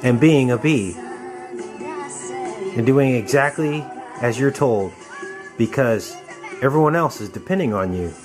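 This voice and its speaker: A man giving informal, halting conspiracy commentary on a film, explaining as he goes. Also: medium pitch, lively intonation. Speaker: video commentator. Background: music.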